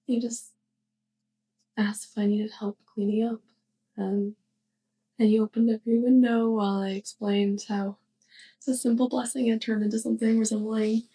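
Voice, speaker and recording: A sheepish young witch next door , acted by a woman, speaks softly and slowly in an intimate ASMR roleplay. Her voice is fairly high with a creaky, crackling edge. She talks haltingly and fondly, as if confiding in her neighbor. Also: heavily acted. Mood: shy, grateful. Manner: hesitant, halting, giggly. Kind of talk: soft ASMR roleplay monologue to the listener.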